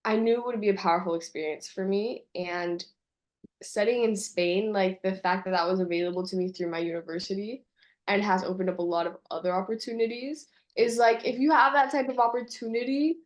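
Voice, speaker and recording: A woman's voice: mid-range voice, steady tone. Young woman, mid-range voice, casual and reflective, an interview guest on a call. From California, USA, and Colombia. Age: young adult. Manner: reflective, says "like" often, slows to stress words. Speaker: guest, university student abroad.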